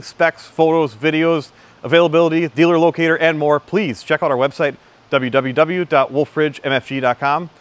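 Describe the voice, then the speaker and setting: Fast-talking man in a fab shop. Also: a little high. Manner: chatty, quick and upbeat. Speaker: company video host. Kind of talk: casual shop walkthrough vlog. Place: large metal fabrication shop, indoors. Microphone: phone camera, selfie and standing shots.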